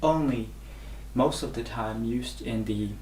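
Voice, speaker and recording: A man's voice: mid-range voice, unhurried, darkish tone, reverberant, a little hiss or hum, poor audio. A man whose first language is Burmese, teaching a language lesson and patiently repeating each sound three times. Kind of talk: slow instructional lesson.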